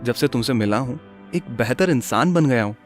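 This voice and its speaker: A man voicing an earnest young man in love in an animated story. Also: medium pitch, a little fast, soft background music, natural voice. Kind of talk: animated story character acting.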